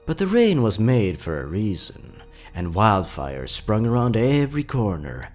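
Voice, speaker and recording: A man's voice: fairly low pitch, big pitch swings, a little slow, darkish tone, faint music behind. Man putting on a folksy old grandma storyteller, swooping animatedly. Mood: ominous storytelling. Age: older. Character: folksy old grandma telling children an ancient tale.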